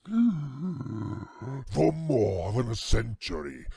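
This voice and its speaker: A man voicing an evil, proud elite banker in a creaky voice, reading a quote slowly and deliberately with smug menace. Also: medium pitch, sing-song, warm tone, no echo, clean studio sound, full character voice. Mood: smug, sinister. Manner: slow, deliberate, gloating with villainous laughs. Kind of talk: mock-villain reading of a quote.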